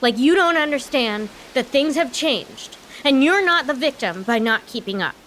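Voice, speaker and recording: A high-voiced young grown daughter in a comedic audio play, with hiss behind her. She is strong-willed, earnest and wry, arguing her case emphatically in a naturalistic, frustrated way. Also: lively intonation, moderate pace, a bit bright, poor audio. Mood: frustrated, forceful.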